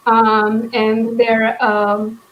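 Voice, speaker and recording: A woman in a casual book discussion, thinking aloud in a hesitant, halting way as she hosts. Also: on the high side, completely flat delivery, lo-fi sound. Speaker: book club host.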